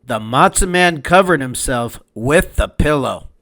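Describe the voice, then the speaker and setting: A man reading a children's storybook aloud as host, in an expressive, measured and deliberate narrating style. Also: medium pitch.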